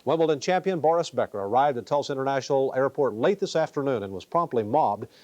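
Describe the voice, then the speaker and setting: A man's voice: mid-range voice, lively intonation, fast, dull audio, music in the background. A man reading sports news as a television sports anchor. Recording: faint noise, 1980s TV broadcast.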